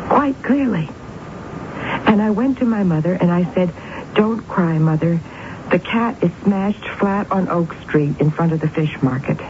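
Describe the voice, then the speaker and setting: An actress playing an intense, eerily certain young woman with visions, in a solemn, deliberate 1970s radio drama style. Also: fairly low pitch. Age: 20s. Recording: muffled, very noisy old radio broadcast.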